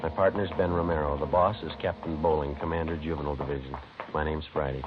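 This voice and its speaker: An actor playing a stoic police sergeant with an American accent, deadpan and businesslike, in a 1950s radio crime drama. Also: male voice, extremely low pitch, fast talker, over music. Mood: matter-of-fact. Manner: deadpan, clipped. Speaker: actor, narrator and lead. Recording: muffled, noisy vintage radio recording.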